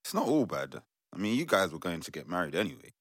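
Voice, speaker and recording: Male actor doing chill young bro, low voice, dry sound, radio play. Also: very animated, fast talker, a bit bright, no echo, exaggerated voice. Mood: casual, concerned. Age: young adult. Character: laid-back, supportive young brother. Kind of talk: modern audio drama acting.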